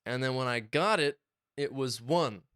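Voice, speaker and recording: Young male podcast co-host, chatty and excitable, swears freely, clean dry mic. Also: medium pitch, a little slow, smooth, no room sound. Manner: excited, swearing, rambling storytelling. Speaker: podcast co-host and music producer. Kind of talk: casual chat. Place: small home studio.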